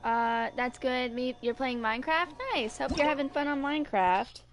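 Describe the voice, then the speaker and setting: A woman's voice: high voice, moderate pace. A woman in her twenties chatting casually and in a laid-back way with viewers as a live streamer. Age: 20s. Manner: laid-back, sing-songs filler syllables to self.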